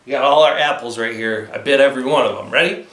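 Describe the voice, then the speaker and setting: A man's voice: mid-range voice, quick pace, fairly bright tone, a little hiss or hum. A man leading a children's storytime, telling stories and encouraging young listeners as he goes. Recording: roomy, echoey sound.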